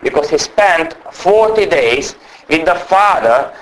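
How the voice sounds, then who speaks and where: A man giving a sermon as a guest preacher. He speaks English with a strong non-native accent, talks fast by nature and tries to slow down, and sounds under the weather with a cold. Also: fairly high pitch, animated, a bit bright, low-quality recording. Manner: naturally fast talker trying to speak slowly. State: unwell with a cold or flu.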